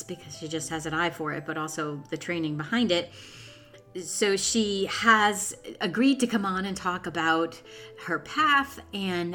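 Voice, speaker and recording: A woman's voice: on the low side, sharp tone. A woman podcast host speaking in an informal, chatty way as she introduces and interviews guests.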